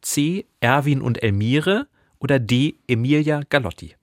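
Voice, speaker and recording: A man's voice: mid-range voice, lively intonation, unhurried, dry recording, clean studio sound. A man speaking as a podcast co-host, casually explaining things in a playful, bookish way. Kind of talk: casual book quiz and banter. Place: podcast studio.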